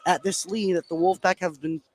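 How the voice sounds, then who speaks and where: High-pitched male basketball play-by-play over gym noise. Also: fairly quick. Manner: excitable on big plays, narrating continuously. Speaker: play-by-play commentator. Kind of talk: live high school basketball commentary. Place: indoor school gym, courtside. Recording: noisy live gymnasium ambience.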